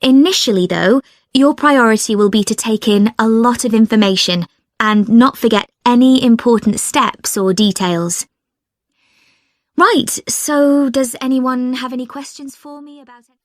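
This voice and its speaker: Instructive woman, slightly high voice. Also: measured pace, no echo, clean studio sound. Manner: clear, instructive. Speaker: volunteer coordinator giving a briefing. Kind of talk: scripted informational talk.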